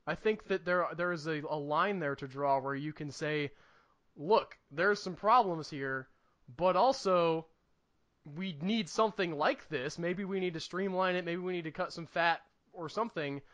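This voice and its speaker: Bright, forceful man in casual podcast chat. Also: fairly high pitch, moderate pace, dull audio. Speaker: podcast co-host.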